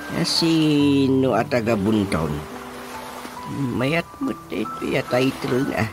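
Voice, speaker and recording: An elderly, grumbling husband in a comic radio drama. He takes his time, humming and exclaiming as he mutters, with music playing clearly behind him. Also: medium pitch, lively intonation, takes it slowly. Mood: musing, fussy. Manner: muttering, full of exclamations and hums. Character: grumbling old husband talking to himself.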